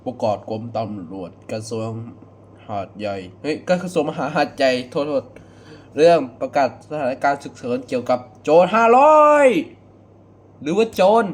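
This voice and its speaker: A young man talking casually in a reaction vlog, excitable and full of exclamations. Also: mid-range voice, normal speed. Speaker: video creator reacting to a horror clip. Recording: faint background noise.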